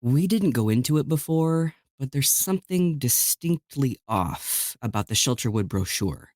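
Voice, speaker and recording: Measured, uneasy podcaster in a horror audio drama acted by a man. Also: medium pitch, lively intonation, a little mellow, dry recording, studio quality, close to an everyday voice. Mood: grave, uneasy. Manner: measured, serious, deliberate. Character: male podcaster soberly recounting eerie, unsettling findings.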